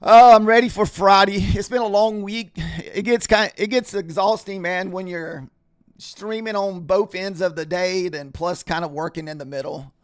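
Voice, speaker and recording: A man's voice: sits high, steady tone, moderate pace, clear, resonant tone, dry recording. Chatty man, slightly scratchy. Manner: rambling, chatty, talks to viewers in chat. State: scratchy voice, clearing throat. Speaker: trading livestream host. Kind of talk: casual live-stream chat.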